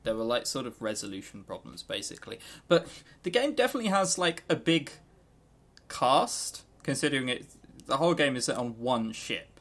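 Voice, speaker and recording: A man co-hosting a podcast in casual chat, talking informally and haltingly with repeated words. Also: medium pitch, sing-song, a bit bright. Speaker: podcast co-host.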